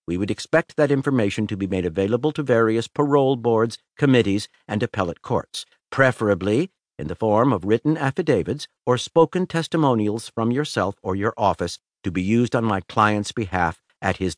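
A man's voice: lowish voice, no room sound, clean studio sound, pitched above the performer's own voice. A man doing a fussy, formal lawyer. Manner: precise and legalistic.

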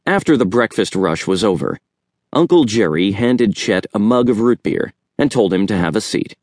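A man's voice: on the low side, animated, normal speed, a little mellow, no echo, clean studio sound. A man reading a children's story aloud as scripted audiobook narration.

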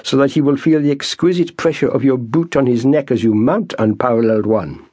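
A man voicing a sly, mock-humble army sergeant who heaps on elaborate flattery. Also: mid-range voice, a little fast, no room sound, pitched above the performer's own voice, close to an everyday voice. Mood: mock-flattering.

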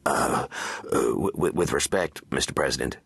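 A man's voice: very deep voice, fairly even intonation, a little slow, gravelly, bright, forceful tone, no echo, clean studio sound, full character voice. A man voicing a timid, pedantic Secretary of State in a satirical audiobook, stammering and deferential. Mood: timid deference. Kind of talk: satirical audiobook character acting.

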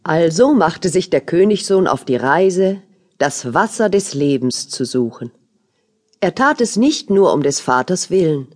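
Low-voiced woman narrating a fairy tale. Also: sing-song, unhurried, darkish tone.

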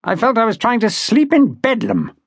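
A male narrator plays an irate naval officer from a historical audiobook, held prisoner and full of contempt. The voice is harsh and growling, pitched well up and swinging widely, in a very dry, close recording. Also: sing-song, a little fast, fairly bright tone, no room sound, full character voice. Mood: indignant. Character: angry, contemptuous English naval lieutenant, a prisoner.

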